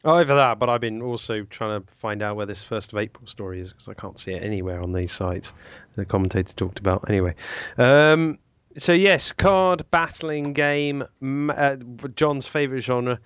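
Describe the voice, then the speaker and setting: A man's voice: mid-range voice, normal speed, fairly bright tone, clear, resonant tone, dry recording. Man hosting a podcast, hesitant, rambling, dry dull lo-fi sound. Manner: rambling, hesitant with many ums. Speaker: podcast host. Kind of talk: casual chat.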